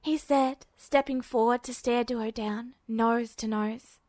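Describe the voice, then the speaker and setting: A woman's voice: fairly high pitch, fairly even intonation, takes it slowly, a little mellow, no room sound, clean studio sound. A woman narrating a story from a script as an audiobook-style reader. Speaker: podfic narrator.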